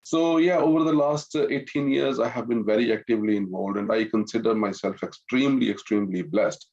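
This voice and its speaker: Deep-voiced middle-aged man, dull lo-fi audio. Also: fairly quick, a little mellow. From Pakistan-born, grew up in France. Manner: courteous, with frequent "you know" asides. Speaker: webinar guest, Islamic finance professional. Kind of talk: conversational personal introduction in a webinar.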